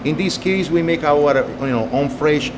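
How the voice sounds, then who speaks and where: Italian-accented man, fairly high voice. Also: noisy background. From Italy. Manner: halting, many fillers. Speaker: executive chef. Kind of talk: TV interview.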